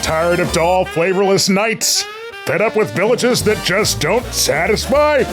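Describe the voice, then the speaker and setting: A man's voice: high-pitched, lively intonation, unhurried, music in the background. A man playing an upbeat announcer in a parody radio commercial, with punchy, salesy emphasis. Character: upbeat ad announcer pitching to dragons, male.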